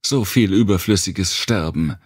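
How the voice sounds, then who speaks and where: A man voicing a harsh, reproachful inner voice that accuses a grieving survivor in a dark audiobook, with a gravelly tone. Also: low voice, a little slow, no echo, studio quality, pitched above the performer's own voice. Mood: bitter accusation. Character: accusing inner voice heard by a grief-stricken survivor.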